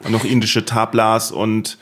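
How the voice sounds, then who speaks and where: A man's voice: fairly low pitch, monotone, takes it slowly, fairly bright tone, slightly rough. A man hosting a podcast, interviewing a guest in casual chat, telling rambling, self-mocking stories. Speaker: podcast host.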